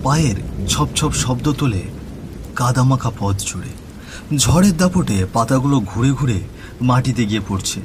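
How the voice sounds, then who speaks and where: A man's voice: mid-range voice, normal speed, long pauses, noisy background. A man narrating a scripted horror story as the storyteller. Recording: music bed with rain and thunder.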